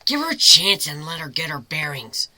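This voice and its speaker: A man's voice: fairly high pitch, very animated, moderate pace, bright, forceful tone, no echo, full character voice. A man voicing a tough, casual female griffin, a blunt and protective friend. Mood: scolding.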